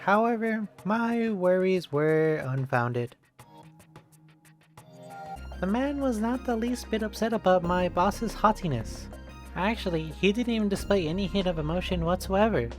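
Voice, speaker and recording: A game streamer reading a visual novel aloud in an expressive narrating style. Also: mid-range voice, normal speed. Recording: game music behind the voice.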